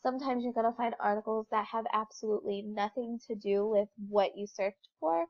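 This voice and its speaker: Young woman stuffed up from a cold doing a class presentation, kinda high voice, muffled lo-fi sound. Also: brisk. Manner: informal, explanatory, with fillers. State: congested from a cold. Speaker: student presenter. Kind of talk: recorded slideshow presentation.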